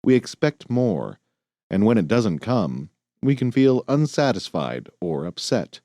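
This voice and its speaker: A man reading a nonfiction audiobook in a measured, earnest, clearly phrased way. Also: on the low side, big pitch swings, dark tone, no room sound, studio quality. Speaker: audiobook narrator. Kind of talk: scripted nonfiction audiobook narration.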